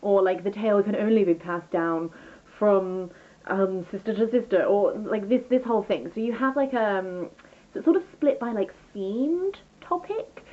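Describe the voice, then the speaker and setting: A woman's voice: medium pitch, normal speed, phone-quality sound. A woman chatting casually through a book review as a vlogger, rambling and enthusiastic, often interrupting herself.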